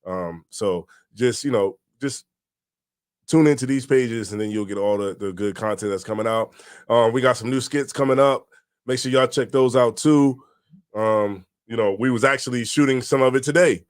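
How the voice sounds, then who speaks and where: A man from Delaware co-hosting a casual podcast, talking in a relaxed, halting way with fillers. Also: medium pitch, clear, resonant tone, no room sound, clean studio sound. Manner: relaxed, halting with fillers, gets loud when joking. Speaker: podcast co-host. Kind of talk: casual chat.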